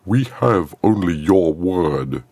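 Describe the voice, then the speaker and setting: A man's voice: deep voice, lively intonation, darkish tone, slightly rough, no echo, full character voice. A man playing a grave, formal courtier at a royal court in a story. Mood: stern. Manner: slow, formal.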